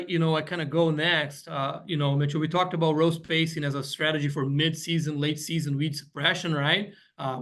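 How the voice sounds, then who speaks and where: A man presents slides in a webinar as a guest weed scientist. His voice sits a little higher than most men's. He explains patiently and keeps checking in with "right?" as he goes. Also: fairly bright tone. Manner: explanatory, frequent "you know" and "right?" checks.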